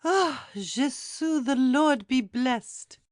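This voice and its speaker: High-pitched, fretful old housekeeper. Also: female voice, expressive, no room sound, clean studio sound, full character voice. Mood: anxious. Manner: exclamatory, gasping, drawn-out lamenting. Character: fretful, alarmed elderly housekeeper woman, prone to outcry. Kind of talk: dramatised classic audiobook acting.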